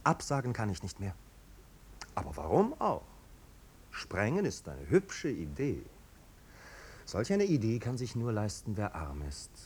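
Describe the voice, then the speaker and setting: Theatrical, swooping snobbish marquis. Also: male voice, wildly swooping pitch, measured pace, long pauses, husky, exaggerated voice. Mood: ironic, defiant. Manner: languid, theatrical, ironic. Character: snobbish, amused aristocratic marquis, blasé and mocking. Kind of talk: 1950s German radio drama acting. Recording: old radio recording, rumbling background noise.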